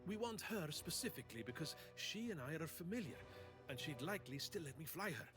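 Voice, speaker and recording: A woman's voice: deep voice, sing-song, fairly quick, over music. An actress playing a wry, cheeky woman adventurer in a video game, with a playful, teasing manner. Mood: wry, confident.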